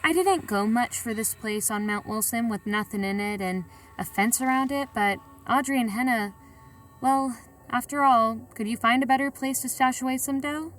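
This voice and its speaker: A woman's voice: fairly high pitch, moderate pace, distant mic, soft background music, close to an everyday voice. A woman playing a wary bank robber in an audio drama, with a colloquial, matter-of-fact way of talking. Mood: matter-of-fact, confiding. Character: Rose, wary female bank robber recounting her heist. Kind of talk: audio drama acting and first-person narration.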